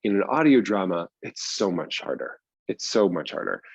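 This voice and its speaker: A man's voice: sits low, very animated, normal speed, gravelly, breathy edge, no echo, muffled recording. A man answering as an interview guest in a conversational, explanatory way, stressing points by repeating them. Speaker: guest, screenwriter and audio drama producer.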